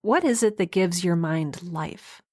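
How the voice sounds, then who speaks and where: A woman playing a composed, probing questioner in an audio drama, pointed and measured. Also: lowish voice, expressive, darkish tone, no echo, studio quality.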